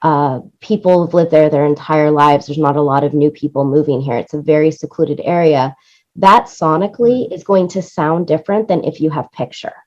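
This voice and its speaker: Woman guest chatting in an interview, explains stuff, says you know and right a lot, lowish voice, dull sound. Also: fairly even intonation, moderate pace, a little mellow. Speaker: interview guest, music supervisor and producer. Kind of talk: conversational interview answers. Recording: dull, lo-fi audio.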